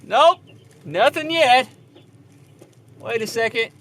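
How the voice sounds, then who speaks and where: A man acts out a curious, unworried young man in a horror audio play. The voice is unusually high and bright, with slow, halting delivery. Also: lively intonation, exaggerated voice. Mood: curious, hesitant. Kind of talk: horror audio drama acting.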